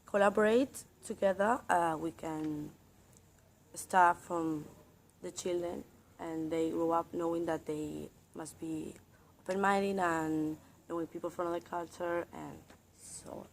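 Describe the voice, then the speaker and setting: A woman's voice: fairly low pitch, steady tone, slow, gravelly, slight background noise. A woman in her thirties in a classroom role-play, speaking hesitantly with many fillers and restarts. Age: 30s.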